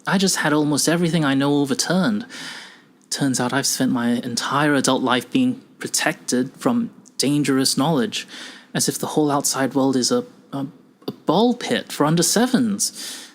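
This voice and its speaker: A man's voice: medium pitch, moderate pace, lightly acted. A man voicing a jittery, indignant junior researcher who talks in fretful, stammering questions. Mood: rattled.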